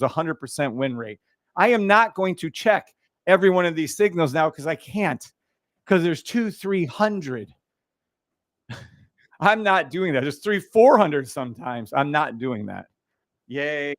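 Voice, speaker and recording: Loud, profane man hosting a livestream. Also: a little high, no echo. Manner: loud, blunt and profane, laughs often. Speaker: trading livestream host. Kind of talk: casual livestream chat. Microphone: boom mic.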